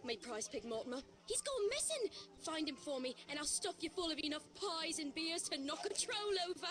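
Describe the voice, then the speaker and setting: A woman's voice: high-pitched, sing-song, fairly quick. An actress playing a flirtatious, doting country woman with a British accent in a video game puppet show, gushing and cooing. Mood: pleading, wheedling. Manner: coquettish, gushing, cooing, giggly.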